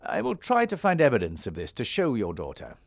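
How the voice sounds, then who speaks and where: An actor playing a calm, firm warrior hero and peacemaker in a fantasy game, speaking in a measured, earnest way. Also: male voice, medium pitch, gravelly, dry recording, exaggerated voice.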